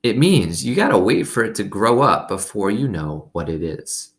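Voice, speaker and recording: A man's voice: lowish voice, sing-song. A man voicing a kindly old woman with failing eyes and folksy rural speech in a storybook read-aloud. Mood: wry, patient. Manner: folksy rural dialect, drawn-out phrases.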